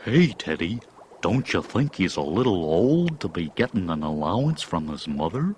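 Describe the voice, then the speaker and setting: An actor playing a friendly, simple, folksy creature sidekick, curious and plain-spoken, in a children's audio storybook. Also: male voice, low voice, big pitch swings, moderate pace, a little mellow, slight background noise.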